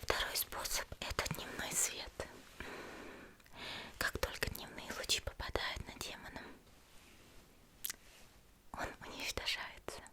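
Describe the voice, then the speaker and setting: A woman playing a petite, gentle and elegant female demon slayer in a whispered ASMR roleplay, soft, reassuring and unhurried. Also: natural voice. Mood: calm, confiding.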